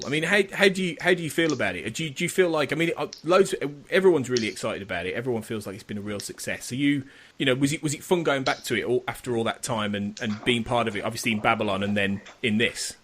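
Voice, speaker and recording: A man's voice: mid-range voice, fairly bright tone. A man hosting a podcast interview, asking long, rambling questions with many restarts. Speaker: podcast host.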